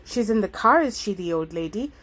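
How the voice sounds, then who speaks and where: A woman voicing a flustered countrywoman caretaker in her late thirties, with a soft, breathless English country accent. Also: fairly low pitch, big pitch swings, breathy edge. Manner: flustered, hurried.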